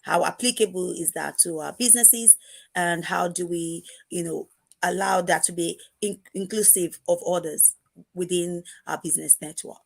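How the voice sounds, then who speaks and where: Lady host opening an interview, kinda slow and hesitant, dry sound. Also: lowish voice, vocal fry, dry recording. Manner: measured, hesitant with fillers.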